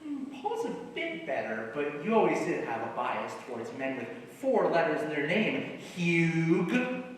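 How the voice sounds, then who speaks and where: A man's voice: a little high, very animated, measured pace. A man playing an ailing, grouchy comedy writer of thirty-nine on stage, wisecracking and theatrical, swinging between mutters and outbursts. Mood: teasing. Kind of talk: live one-act stage comedy acting. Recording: echoey hall, distant mic, faint noise.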